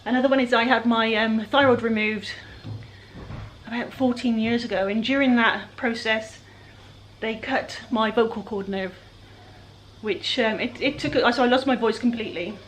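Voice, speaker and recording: A woman with a croaky, raspy voice, chatting casually while she works through a furniture project. She sounds a little breathless and often lets her sentences trail off quietly. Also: fairly high pitch, steady tone, normal speed, slight background noise, rough recording. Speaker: furniture flipper. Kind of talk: casual how-to chat while working.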